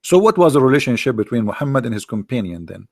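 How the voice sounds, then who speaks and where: A man whose first language is Arabic, debating on a live online call, hoarse from talking, pressing his point with rhetorical questions and repeated words. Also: mid-range voice, big pitch swings, fast, a little mellow, no room sound, clean studio sound. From Middle East. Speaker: debate host.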